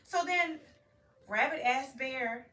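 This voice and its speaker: A woman telling a story to a young child as a mother, animated, prompting with questions and repeating the answers. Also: high-pitched, slow. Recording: roomy, distant home recording with faint noise.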